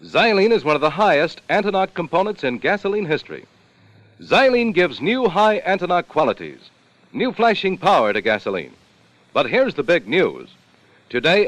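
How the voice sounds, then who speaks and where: Casting: male American commercial announcer, old-time radio, punchy salesman delivery, very animated. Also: a little high, no room sound, poor audio. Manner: emphatic, salesmanlike. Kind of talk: 1950s radio advertisement read.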